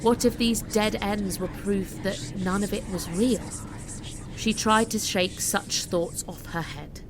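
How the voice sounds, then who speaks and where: A woman's voice: medium pitch, takes it slowly, noisy background. A woman giving scripted, dramatic story narration as an audiobook narrator. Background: music.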